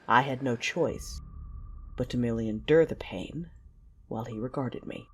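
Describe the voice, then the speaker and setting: A woman playing a proud, vengeful warrior, telling the story in intense, measured first-person narration for an audio drama. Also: a bass voice, a little mellow, husky. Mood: intense, gritty. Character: proud, vengeful wounded warrior turning to the dark side.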